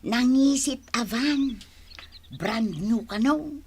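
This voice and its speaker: A woman's voice: fairly high pitch, slow pace. An actress with an Ilocano accent playing a gossipy, needling village woman in a radio drama, drawing out her words. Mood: gossipy, impressed. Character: gossipy, needling village woman fond of news about neighbours. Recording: noisy background with small clicks.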